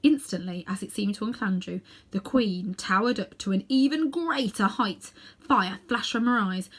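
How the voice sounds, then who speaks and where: A woman narrating a children's storybook in an expressive reading style. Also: medium pitch. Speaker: storybook reader.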